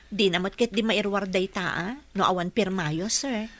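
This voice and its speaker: A woman's voice: mid-range voice, normal speed, dry recording, lightly acted. A woman playing a caring, respectful nurse in a radio drama, polite and encouraging. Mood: respectful, concerned.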